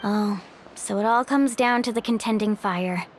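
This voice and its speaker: A woman's voice: mid-range voice, moderate pace, a little hiss or hum, lightly acted. An actress playing an upbeat, confident young woman warrior from a seaside tribe in a video game, chatty and self-assured. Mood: breezy, friendly. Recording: game music behind.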